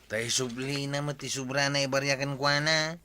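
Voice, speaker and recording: A man's voice: mid-range voice, steady tone, moderate pace, pushed and bright, slight background noise, natural voice. A man acting the teasing, chatty nephew of a village captain in an Ilocano comedy drama. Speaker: radio comedy actor.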